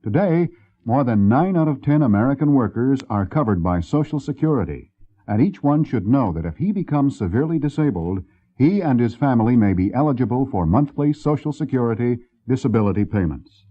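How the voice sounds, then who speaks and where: A man's voice: medium pitch, lively intonation, a little fast, husky. A man reading a formal, scripted public service announcement. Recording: slightly dull, muffled recording.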